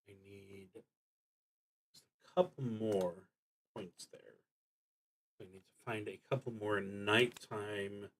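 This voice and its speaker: A man plays a solo roleplaying game on stream at a slow, unhurried pace. His voice sits at an average male pitch. He thinks aloud and reads out cards, often halting or trailing off as he works through the rules. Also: sharp tone. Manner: halting, trailing off while working things out.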